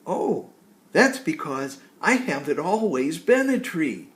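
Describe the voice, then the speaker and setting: A man's voice: a little high, big pitch swings, slow. A man voicing an earnest, shy young boy in a storybook, speaking a little hesitantly. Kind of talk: storybook character voice.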